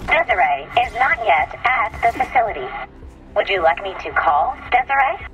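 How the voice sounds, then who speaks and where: Literal-minded game robot aide: formal, stilted, robotic processing, mid-pitched, light music bed. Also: female voice, very animated, normal speed, poor audio. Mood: impassive.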